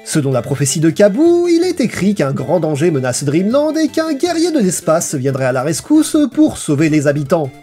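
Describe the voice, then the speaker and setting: A man's voice: fairly high pitch, wildly swooping pitch, moderate pace, hardly any pauses, mellow. A man reading a scripted video essay in a playful, theatrical storytelling manner. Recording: voice-over with music behind. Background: background music.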